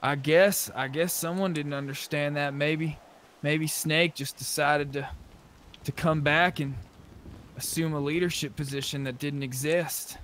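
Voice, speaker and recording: A man's voice: fairly high pitch, steady tone, measured pace, fairly bright tone. A man talking casually in roleplay over online game voice chat. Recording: game voice chat, noisy background.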